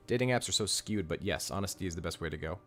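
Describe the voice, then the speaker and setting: Deep-voiced man giving blunt advice. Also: quick pace, a bit bright, faint music behind. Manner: blunt, direct advice, repeats key points. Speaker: relationship coach hosting a live stream. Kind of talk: casual live-stream Q&A reading comments.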